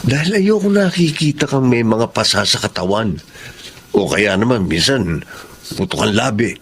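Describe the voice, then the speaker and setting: A man's voice: lowish voice, animated, moderate pace, slight background noise. Man acting a hesitant, earnest lover. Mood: earnest, concerned. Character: polite, protective married man, a secret lover. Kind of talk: radio drama acting.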